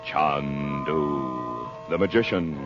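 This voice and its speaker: A man's voice: a bass voice, drawn-out words. A man announcing on an old radio programme, reading an advertisement in a polished, persuasive 1940s style. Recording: muffled vintage radio broadcast, music behind.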